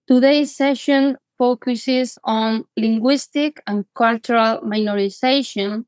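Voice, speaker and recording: A woman from Asturias, opening an online academic seminar in a measured way, often repeating words as she corrects herself. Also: fairly high pitch, steady tone, smooth, no room sound. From Asturias, Spain. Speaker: seminar co-organizer and host.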